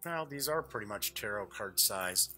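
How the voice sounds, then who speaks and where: Bright-voiced, chatty man with lively pitch. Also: normal speed, faint music behind. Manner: chatty, jokey, muttering asides to himself. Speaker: host of a tabletop game review show. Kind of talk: casual off-the-cuff unboxing commentary.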